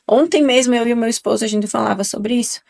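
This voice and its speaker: Gravelly-voiced woman with Italian-tinged southern accent. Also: on the high side, quick pace, darkish tone. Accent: Italian-influenced southern Brazilian Portuguese. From southern Brazil, Italian-settled region. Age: 40s. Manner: chatty, emphatic, tag questions. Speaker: guest nutrition speaker. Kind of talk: casual radio podcast interview. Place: radio studio.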